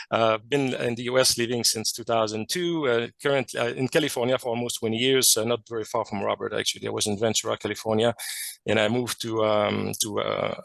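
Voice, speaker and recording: A man's voice: mid-range voice, creaky, a bit bright, no room sound. A man from Quebec with a French Canadian accent, French as his first language, giving a technical presentation over a video call with many fillers and restarts.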